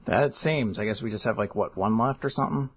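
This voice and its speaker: A man's voice: lowish voice, brisk, husky. A man co-hosting a podcast, chiming in with offhand, joking remarks in casual chat. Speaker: podcast co-host.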